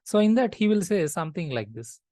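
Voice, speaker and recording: Woman, lecturing, patient repetition, low voice. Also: very animated, fairly quick, mellow, no echo, clean studio sound. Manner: explains step by step, repeats key phrases. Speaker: programming teacher.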